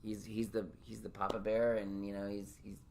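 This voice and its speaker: A man's voice: fairly low pitch, completely flat delivery, moderate pace, creaky, noisy background. Rambling, joking male podcast host. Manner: rambling, self-deprecating, joking. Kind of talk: casual interview chat.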